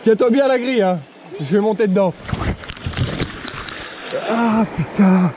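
Man, high-pitched, excitable, casual swearing, outdoor river, action camera, loud water noise. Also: measured pace. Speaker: kayaker filming the trip. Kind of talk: casual outdoor vlog chat. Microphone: action camera on the boat.

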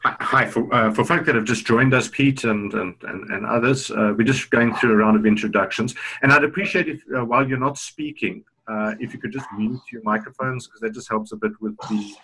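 A man's voice: medium pitch, fairly quick, few pauses, bright, forceful tone, breathy edge, rough recording. A middle-aged man hosting a video-call webinar on a headset, easygoing, with many "uh" fillers. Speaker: webinar host.

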